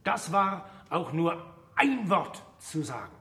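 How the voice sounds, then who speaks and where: High-pitched wry physicist. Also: male voice, very animated, pushed and bright, full character voice. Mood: measured storytelling. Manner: deliberate, declamatory storytelling and teaching. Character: famous older male physicist, wry, stubborn, didactic. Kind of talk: German radio play acting.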